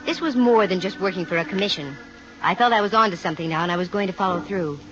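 A wry American woman private eye played by an actress speaks briskly in vintage radio drama style. She is self-assured and quick with a dry crack. The old recording is muffled, with some music. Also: fairly low pitch, natural voice. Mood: wry narration. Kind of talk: 1950 radio detective drama acting, with first-person narration.

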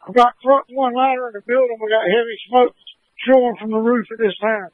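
A male firefighter talks in terse, directive bursts over two-way radio. His voice sits extremely high for a man, and the transmission is thin as a phone line. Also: steady tone, a little fast, dry recording. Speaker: firefighter on scene. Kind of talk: terse fire radio traffic.

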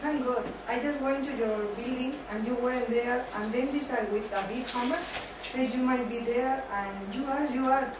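A woman's voice: a little high, moderate pace, few pauses, close to an everyday voice. In a noisy, very echoey room, a woman acts out a frazzled runaway bride from a sitcom. She rambles on. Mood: flustered. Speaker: student in an amateur dramatized reading. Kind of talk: amateur dramatized sitcom reading. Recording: distant, very echoey room, clear noise.

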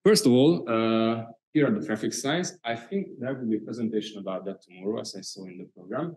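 Hungarian-accented male presenter, slightly low voice, hesitant delivery full of fillers. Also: animated, darkish tone, rough recording. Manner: halting, many filler sounds. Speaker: conference speaker. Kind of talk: conference presentation. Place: conference hall.

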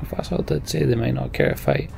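A man's voice: medium pitch, wildly swooping pitch, fairly quick, gravelly, a little mellow, soft background music. A man hosting a Let's Play, giving casual game commentary and reading the story text aloud.

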